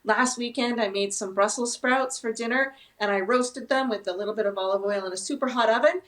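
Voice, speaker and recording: A woman's voice: fairly high pitch, fairly quick, a bit bright. A woman chatting casually as a food expert guest in an interview.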